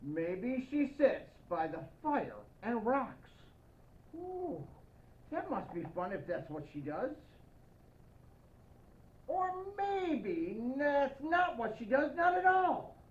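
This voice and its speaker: High, slow, expressive woman reading a storybook. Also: dull audio, a little hiss or hum. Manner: playful, expressive, addressing young listeners. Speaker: storybook reader in the persona of Santa. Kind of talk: children's picture-book read-aloud with playful asides.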